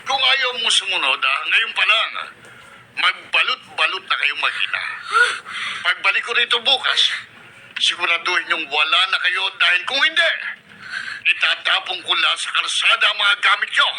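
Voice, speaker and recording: A man's voice: sits high, animated, normal speed, bright, forceful tone, heavily acted. A man playing a greedy, bullying brother-in-law in a radio drama, threatening and domineering. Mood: angry, threatening. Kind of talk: Filipino radio drama acting. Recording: noisy radio drama recording.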